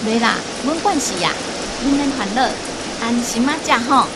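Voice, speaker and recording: A woman's voice: fairly high pitch, animated, moderate pace, very noisy, lightly acted. A woman playing a caring, hospitable community mother in a radio drama, homely and reassuring, with a Taiwanese accent. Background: loud music.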